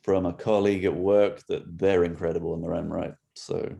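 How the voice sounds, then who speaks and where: Deep, mellow-voiced man. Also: flat delivery, fairly quick, gravelly. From England. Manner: chatty, rambling, laughs easily. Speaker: podcast host. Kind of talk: casual video-call interview.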